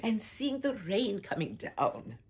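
An older woman reading a children's picture book aloud with theatrical whispers and swooping pitch, talking warmly to young listeners as "my dears". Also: very animated, takes it slowly, a little hiss or hum. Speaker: storybook reader. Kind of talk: expressive read-aloud for children. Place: home room with bookshelf, indoors.

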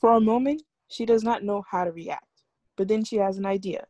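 A woman narrates stage directions from a film script at a table read. Her mid-pitched voice is mellow and dark, with a dry, close sound over a video call. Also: brisk, dry recording, lo-fi sound.

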